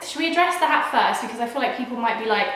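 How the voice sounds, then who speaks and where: A woman's voice: fairly high pitch, lively intonation, fast, fairly bright tone. Chatty nasal young woman, echoey room. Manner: rambling and chatty, full of "like" and restarts. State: unwell with a cold. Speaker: vlogger. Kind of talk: casual chat to camera. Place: at home.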